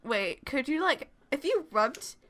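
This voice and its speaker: A woman's voice: high voice, sing-song, slow, fairly bright tone. A woman chatting casually in a group podcast conversation. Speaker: anime podcast participant.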